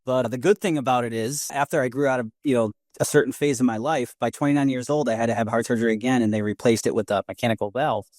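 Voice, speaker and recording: A man's voice: medium pitch, steady tone, quick pace, hardly any pauses, darkish tone, dry recording, clean studio sound. A man in his forties with a slight Boston accent, chatting candidly as a podcast guest. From Brockton, Massachusetts, USA. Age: 40s. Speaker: podcast guest, real estate investor. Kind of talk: casual personal storytelling.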